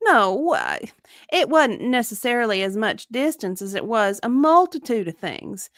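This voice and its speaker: High-voiced, evasive witness played by a woman. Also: sing-song, a little slow, fairly bright tone, no echo, studio quality. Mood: earnest. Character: evasive, defensive female victim advocate being deposed. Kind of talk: dramatic deposition reading.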